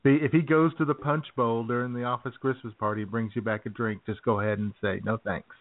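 A man's voice: mid-range voice, steady tone, fast talker. A man co-hosting a comedy radio show in casual, teasing banter. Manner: teasing, joking. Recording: tinny, phone-like band-limited audio.

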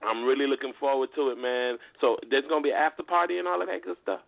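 A man's voice: medium pitch, fairly even intonation, quick pace, gravelly, no room sound. A man hosting a casual talk radio interview in a slangy, conversational way. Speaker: radio show host. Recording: phone-like internet radio with background music.

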